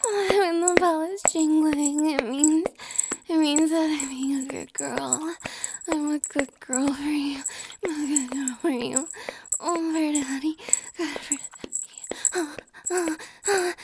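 Very high-pitched, slow whispering woman. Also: fairly even intonation, background noise. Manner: soft whispering, breathy sighs and gasps; pleading, submissive delivery. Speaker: audio roleplay performer. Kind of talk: intimate adult audio roleplay.

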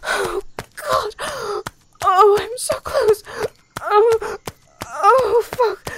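A woman voicing a teasing, confident adult lover, speaking in a breathy, whispery way. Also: mid-range voice, slow pace, darkish tone, slight background noise. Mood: passionate. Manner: breathy, whispery, moaning delivery. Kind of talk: scripted adult audio roleplay.

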